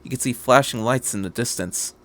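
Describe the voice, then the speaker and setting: A man reading a story aloud as a podcast narrator, in a measured, scripted storytelling style. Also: medium pitch, fairly bright tone.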